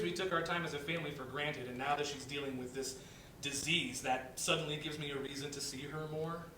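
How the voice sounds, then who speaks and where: A man playing a wry, outspoken office worker in a dramatic reading of a play, speaking in a conversational, emphatic way. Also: natural voice. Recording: echoey room, distant mic, rumbling noise.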